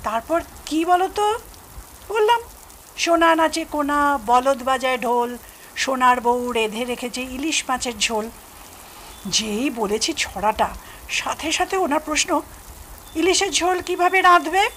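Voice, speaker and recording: Actress as chatty middle-aged mother-in-law, high pitch, bright tone, anecdotal storyteller, radio play, faint background sizzle. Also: unhurried, long pauses, slight background noise. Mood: animated recounting. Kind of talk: Bengali audio drama acting.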